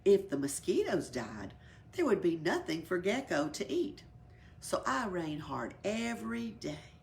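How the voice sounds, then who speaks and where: A woman voicing the rain as an earnest, dutiful storybook character with a partly breathy delivery. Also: lowish voice, big pitch swings, measured pace, darkish tone, slight background noise, full character voice. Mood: earnest, explaining.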